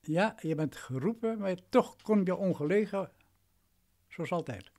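Radio play role: condescending, domineering male lawyer, highish voice with very animated intonation. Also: a little slow, heavily acted. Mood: imperious. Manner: patronising, imperious.

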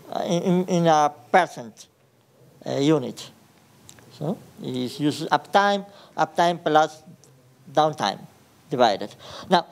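Slow-speaking Ecuadorian man giving a tech talk. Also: fairly high pitch, darkish tone, clear, resonant tone. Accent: Ecuadorian Spanish. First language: Spanish. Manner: hesitant, frequent fillers and restarts. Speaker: conference speaker. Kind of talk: technical conference talk. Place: large conference hall with audience.

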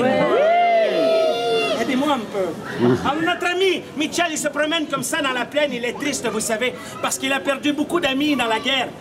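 A man, telling comic stories theatrically and mock-seriously as a street puppeteer. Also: very high-pitched. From Canada. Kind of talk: comic storytelling to a live audience. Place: outdoor cobbled street by a stone wall. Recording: outdoor live show, noisy crowd.

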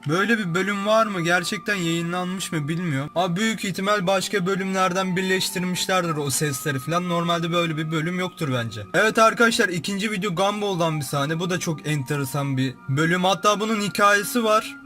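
A man's voice: high voice, fairly quick, few pauses, sharp tone, faint music behind. A young man giving casual, informal, exclamatory commentary as a YouTube host, describing what he sees. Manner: informal, exclamatory, narrates what appears on screen.

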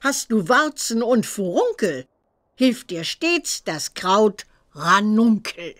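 A woman voicing a stern old witch examiner who teaches herbalism in a children's computer game, theatrical and deliberate. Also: mid-range voice, big pitch swings, dry recording. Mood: theatrical, teasing.

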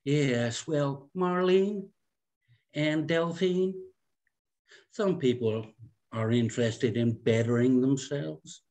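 A man's voice: mid-range voice, takes it slowly, a little mellow. A man voicing a snobbish, fussy fifty-year-old small-town society woman in a script read, with a condescending, genteel delivery. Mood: smugly superior. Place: indoor room at home, on a video call.

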